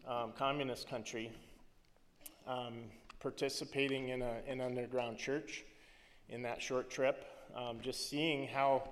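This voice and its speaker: A man's voice: medium pitch, long reverb tail, slight background noise. A man in his thirties, raised in the Philippines, speaking earnestly as a missionary to a church, with many ums and restarts.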